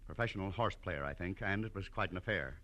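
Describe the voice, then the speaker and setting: An actor playing a stern, exasperated middle-aged guardian uncle with an American accent in a vintage radio drama. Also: male voice, low voice, fast, a bit bright, dull audio. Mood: grave concern. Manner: brusque, authoritative. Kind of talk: 1940s radio drama acting.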